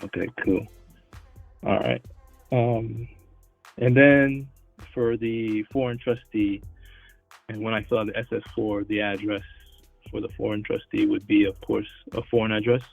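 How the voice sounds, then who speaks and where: A man calling in with questions, hesitant and halting, full of ums and uhs. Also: lowish voice, level tone, creaky, narrow, tinny audio, soft background music. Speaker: caller. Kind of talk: phone-in questions.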